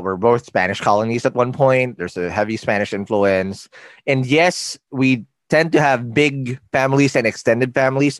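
A man with a Filipino accent hosting a casual interview podcast, playful and energetic, laughing often. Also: medium pitch, no room sound. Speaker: podcast host.

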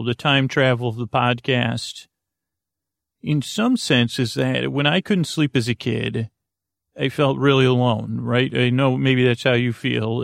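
Creaky-voiced man rambling softly through a podcast. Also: medium pitch, no room sound, studio quality. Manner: meandering, halting, self-interrupting, digressive. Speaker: podcast host. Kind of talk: rambling bedtime podcast monologue.

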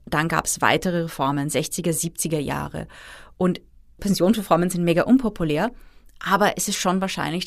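Woman in her 30s, lowish voice, methodical expert guest, dry close sound. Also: dry recording. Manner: explanatory, methodical. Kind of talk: interview answers.

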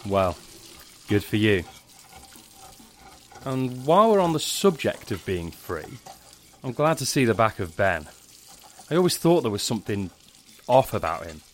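An actor playing a burdened, middle-aged father in an audio drama, speaking earnestly and haltingly. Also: lowish voice, sing-song, slight background noise. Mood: gently disapproving. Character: protective, burdened father of a teenage girl.

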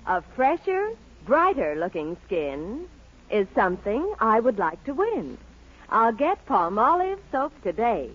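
A woman's voice: a little high, very animated, a little slow, fairly bright tone, slightly rough, exaggerated voice. A woman playing an eager jingle reciter in a 1940s radio commercial, in sing-song rhyme. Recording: muffled vintage radio broadcast.

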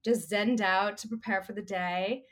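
A woman speaking as an interview guest, relaxed and chatty, with a hoarse voice. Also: mid-range voice, a little slow. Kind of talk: casual interview chat.

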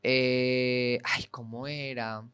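A man co-hosting a podcast, chatting casually and filling pauses with hesitations. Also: medium pitch, little pitch movement, pushed and bright. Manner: chatty, filler-laden. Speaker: podcast co-host. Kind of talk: casual conversation.

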